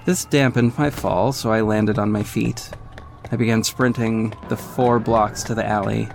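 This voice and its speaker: A man's voice: fairly low pitch, a little hiss or hum, close to an everyday voice. A man playing a confident young vigilante who narrates his own exploits in a wry, smug way in an audio drama. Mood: confident, matter-of-fact. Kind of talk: scripted first-person audio drama narration.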